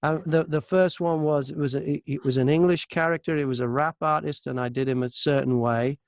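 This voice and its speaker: A man with a fairly high voice talks casually as a guest in an interview. He tells work stories in a conversational way, voicing bits of remembered exchanges. The recording is dry, with very little room sound. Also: fairly even intonation, a little fast, no echo. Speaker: interview guest, audiobook narrator and voice actor.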